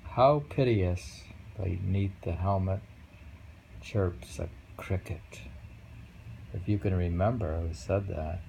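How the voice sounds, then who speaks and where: A man reading short poems aloud and chatting about them casually, musing and pausing over the lines. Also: deep voice, vocal fry, darkish tone, slight background noise, poor audio. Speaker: poetry reader and host. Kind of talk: casual poetry reading and chat.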